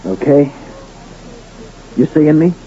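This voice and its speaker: An actor playing a stubborn, defiant Western gambler betting everything for a ranch, gravelly, in 1970s radio drama style. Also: male voice, on the high side, lively intonation, slow pace, dark tone. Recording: dull, noisy 1970s radio recording.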